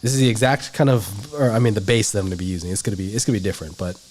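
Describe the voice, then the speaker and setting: A man's voice: lowish voice, rapid-fire, a little mellow. A man with a stuffy, nasal voice, teaching an art lesson on a livestream in a rambling way full of fillers. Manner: rambling, filler-heavy explaining. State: stuffy nose. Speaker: art instructor.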